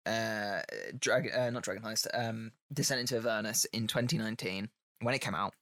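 Bright, slightly croaky man hosting a podcast. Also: on the low side, fairly even intonation, normal speed, no room sound, studio quality. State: unwell, croaky voice. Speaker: podcast host. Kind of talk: casual podcast chat.

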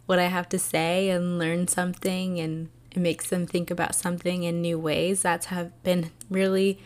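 Soft-spoken woman with a soothing voice. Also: lowish voice, flat delivery, moderate pace. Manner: soft-spoken, earnest, with many fillers. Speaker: podcast host. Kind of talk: reflective solo podcast talk.

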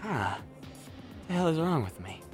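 A man's voice: a little high, sing-song, measured pace, over music. A man playing a nervous, apologetic killer in a darkly comic radio play, stammering and rambling with plenty of swearing. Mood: baffled. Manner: stammering, hesitant, rambling, foul-mouthed.

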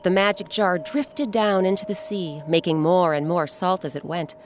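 A woman narrating a scripted children's story as host, with dramatic, expressive, deliberate phrasing. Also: lowish voice. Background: music.

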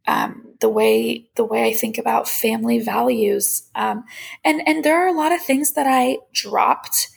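Young woman podcasting off the cuff, tons of vocal fry, kinda husky, candid and chatty. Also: fairly high pitch. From Iran. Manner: candid, reflective, conversational. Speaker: podcast host. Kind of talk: casual personal monologue.

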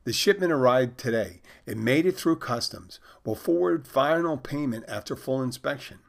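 A man's voice: medium pitch, animated, moderate pace, gravelly, pitched above the performer's own voice. A man voicing a naive fourteen-year-old Polish expat boy, reading out stilted, overly formal text messages. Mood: earnest deadpan.

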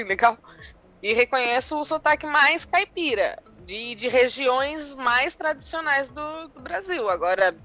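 Woman, fairly high voice, Sorocaba accent, hesitant thoughtful, podcast chat. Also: bright, forceful tone. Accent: Sorocaba interior São Paulo. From Votorantim, São Paulo state. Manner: thoughtful, hesitant, many fillers. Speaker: podcast co-host. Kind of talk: casual chat.

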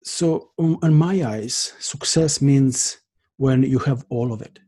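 A man hosting an interview, speaking with careful, slightly halting phrasing. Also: medium pitch, warm tone, breathy edge. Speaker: podcast host.

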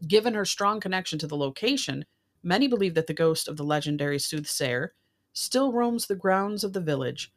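A woman's voice: fairly low pitch, animated, brisk. A woman hosting a casual talk show, reading out odd news stories and dropping in informal asides.

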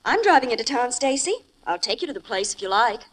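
A fairly high, very animated woman's voice from an old radio drama. She is a glamorous secretary and femme fatale, coy and teasing, her pitch sliding playfully as she drawls. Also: fast talker, a little hiss or hum, poor audio. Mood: brisk, pleasant. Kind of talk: 1940s radio crime drama acting. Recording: old-time radio broadcast recording.

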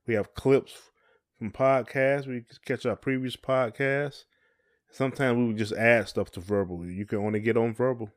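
A man's voice: medium pitch, steady tone, moderate pace. A man co-hosting a podcast, talking casually in folksy, colloquial phrasing. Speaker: podcast co-host. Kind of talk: casual conversation.

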